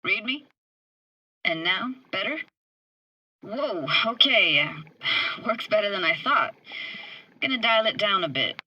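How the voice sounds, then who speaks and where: A woman's voice: medium pitch, expressive, takes it slowly, pauses often, bright, forceful tone, no echo, lo-fi sound, exaggerated voice. An actress playing a tough but tender young techie woman in a video game, speaking in a casual, clipped, slangy way. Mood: casual, focused. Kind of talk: naturalistic video game dialogue.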